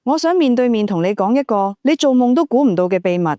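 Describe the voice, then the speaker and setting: A woman voicing a poised, seductive, scheming noble widow in an audiobook, with coy, measured, formal speech. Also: on the high side, animated, mellow, dry recording, natural voice. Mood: coy, soft.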